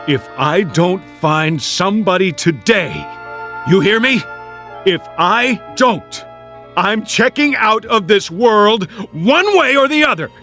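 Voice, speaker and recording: An actor playing a lonely, distressed Air Force man with no memory, rambling to himself haltingly and wryly in a radio drama. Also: high-pitched, sharp tone, exaggerated voice. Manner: rambling to himself, halting, wry joking turning agitated.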